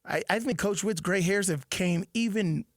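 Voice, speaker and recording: A man who played the sport, co-hosting a sports radio show and chiming in with quick agreement and emphatic repeats. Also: high-pitched, no room sound, clean studio sound. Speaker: former player turned radio co-host. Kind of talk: casual sports talk.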